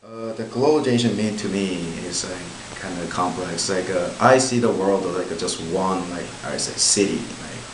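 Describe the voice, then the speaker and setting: A man's voice: low voice, moderate pace, hardly any pauses. A young man from Korea, a student rambling through his answer with constant fillers. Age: young adult. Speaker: exchange student interviewee. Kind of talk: interview answers. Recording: roomy with faint hiss.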